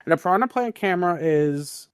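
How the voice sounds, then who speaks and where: Higher-voiced man hosting a podcast, dry sound. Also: animated, a little fast, clear, resonant tone, no room sound. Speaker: podcast host. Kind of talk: casual gaming news discussion.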